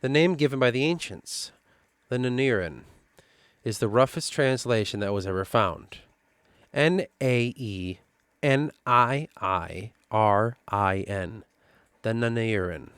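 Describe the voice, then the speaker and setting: A man with a Midwestern accent reading through his own fantasy lore notes and thinking aloud, halting and restarting as he goes. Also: mid-range voice, fairly bright tone, clean tone, no echo, clean studio sound. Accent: Midwestern American. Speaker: worldbuilding writer.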